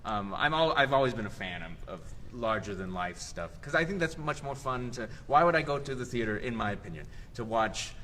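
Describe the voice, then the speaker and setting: A man's voice: medium pitch, fairly quick, hardly any pauses. A young man from South Texas, a theater director, talking in a casual interview with lots of laughs and restarts. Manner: animated, laughs often, fond of hesitations. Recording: faint background noise.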